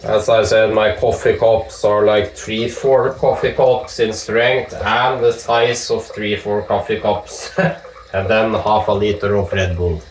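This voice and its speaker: A man with a Norwegian accent chatting casually while he shaves, joking and swearing in relaxed asides. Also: on the low side, takes it slowly, a little hiss or hum. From Norway. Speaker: vlogger shaving with a straight razor. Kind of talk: casual talk while shaving. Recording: echoey room with dripping water.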